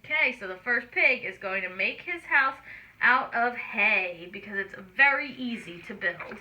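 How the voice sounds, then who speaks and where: A woman storyteller reading aloud to small children, expressive and explaining things simply. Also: fairly high pitch, measured pace, highs cut off, a little hiss or hum. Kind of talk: children's read-aloud narration with asides.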